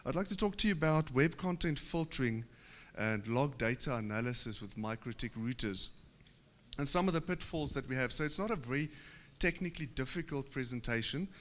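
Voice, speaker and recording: South African man presenting in a hall. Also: mid-range voice. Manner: steady explanatory delivery, occasional filler pauses. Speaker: conference presenter. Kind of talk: technical conference talk. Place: large conference hall. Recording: reverberant conference hall.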